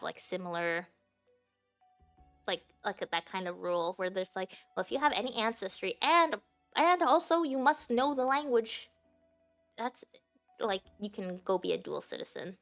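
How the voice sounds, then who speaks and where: A woman's voice: mid-range voice, very animated, normal speed, a bit bright, faint music behind. A woman from Japan whose first language is Japanese, chatting casually on a livestream and rambling with many fillers and restarts. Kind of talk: casual stream chat.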